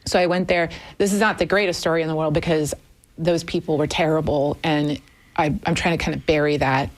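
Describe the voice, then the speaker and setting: A woman's voice: deep voice, steady tone, a little fast. A woman in her fifties from the United States, a guest telling her personal story candidly in conversation, often correcting herself. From United States, moved often as a military child, Minnesota family. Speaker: interview guest. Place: radio studio.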